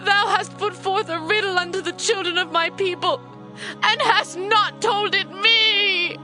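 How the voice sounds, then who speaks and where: Very high-pitched tearful biblical wife. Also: female voice, measured pace, bright, forceful tone, soft background music, heavily acted. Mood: tearful reproach. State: weeping. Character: Samson's tearful, reproachful young wife. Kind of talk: dramatized scripture, archaic English.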